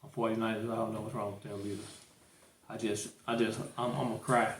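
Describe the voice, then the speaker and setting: A man's voice: on the low side, flat delivery, fast talker, echoey room, a little hiss or hum. A man in his thirties, a podcast co-host in casual chat, talking in a laid-back, rambling way with lots of fillers. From St. Louis, Missouri. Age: 30s.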